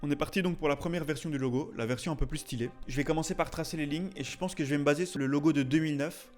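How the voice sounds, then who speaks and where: A man's voice: a little high, racing. A chatty man hosting a video with jokey, casual commentary and theatrical, mock-indignant asides. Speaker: YouTube host, tattoo artist. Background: music behind the voice.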